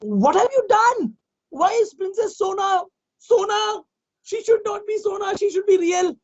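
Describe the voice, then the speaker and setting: A man voicing a furious queen who scolds her husband in a shrill voice. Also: expressive, a little slow, full character voice. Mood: scolding. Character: furious shrieking queen, adult woman. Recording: dull lo-fi recording.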